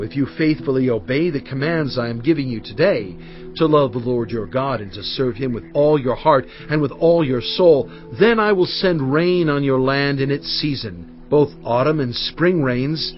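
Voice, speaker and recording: An actor playing a solemn prophet in dramatized scripture, exhorting his people in earnest, measured oratory with weighty pauses. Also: mid-range voice, lightly acted. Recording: dull studio track over faint music.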